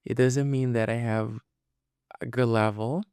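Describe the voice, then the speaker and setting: A man's voice: mid-range voice, steady tone, measured pace, a little mellow, smooth, no echo, clean studio sound. A man whose first language is Portuguese, hosting a casual solo podcast and explaining things informally to listeners, often checking that they follow. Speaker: podcast host and English teacher.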